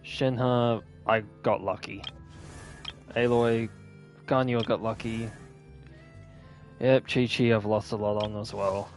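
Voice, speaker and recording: A man's voice: lowish voice, steady tone, slow pace, pauses often, faint music behind. A man giving casual, unscripted gameplay commentary in an offhand, rambling way. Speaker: gaming video host.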